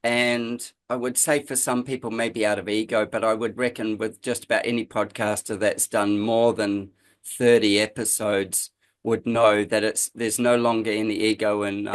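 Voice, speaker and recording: A man hosting an interview podcast, speaking in a conversational, reflective way. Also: on the low side. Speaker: podcast host.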